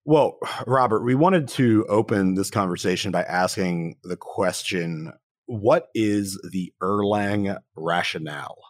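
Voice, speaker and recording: Upbeat low-voiced podcast host man. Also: unhurried, no room sound, clean studio sound. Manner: upbeat, playful, repeats words. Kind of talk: podcast intro and interview.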